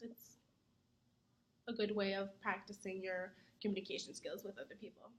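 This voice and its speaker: A young adult woman, a student, giving earnest answers in a mock interview, often pausing on "um". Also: medium pitch, flat delivery, slight background noise. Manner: earnest, many "um" fillers; says she talks fast when nervous.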